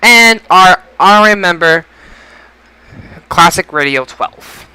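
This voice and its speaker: A man's voice: high voice, animated, slow, pushed and bright, clean tone, no room sound, low-quality recording. A man hosting a casual podcast, announcing like a showman and stumbling over his words at times. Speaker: podcast host. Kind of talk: casual podcast show intro and chat.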